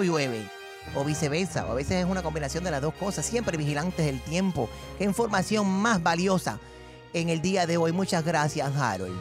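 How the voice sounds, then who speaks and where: Male radio host, high voice, lively intonation, teasing banter, light music behind. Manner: teasing, jokey. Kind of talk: bantering morning radio talk.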